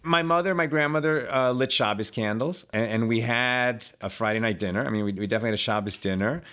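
A man's voice: mid-range voice, fast, a bit bright. A man in his fifties from Chile, a podcast guest telling his life story in a loose, conversational way, full of fillers and repeated words. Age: 50s.

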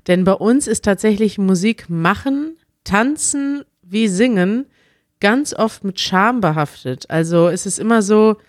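A woman's voice: medium pitch, animated, a little slow, no echo, clean studio sound. A woman co-hosting a podcast in casual chat, chatty and laughing often. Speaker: podcast co-host.

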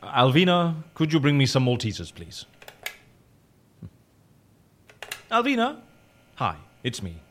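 A man's voice: medium pitch, huge pitch swings, normal speed, a bit bright, a little hiss or hum. An actor playing a fussy, easily flustered, stubborn and childish male boss in an audio comedy, with a theatrical, stammering delivery. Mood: awkward, hesitant. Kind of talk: audio drama comedy acting.

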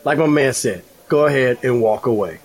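A man playing a menacing high school bully in an audio drama, cocky and threatening. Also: lively intonation, moderate pace, close to an everyday voice.

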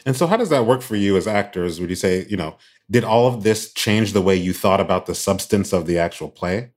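A man's voice: sits low, lively intonation, fairly quick, darkish tone. A man hosting a radio documentary, delivering scripted narration and interview questions. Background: music.

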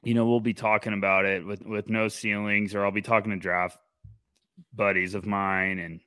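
A man co-hosting a casual sports podcast, talking in an energetic, animated way and rambling through restarts. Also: fairly low pitch, normal speed, clear, resonant tone, no echo. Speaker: podcast co-host.

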